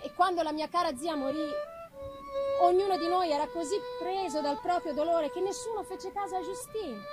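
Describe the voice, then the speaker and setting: A woman voicing an affectionate, anxious young gentlewoman in a theatrical, unhurried reading of a letter from a gothic novel. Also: very high-pitched. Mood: tender, sorrowful. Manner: expressive, unhurried dramatic delivery with pauses. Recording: live music behind the voice.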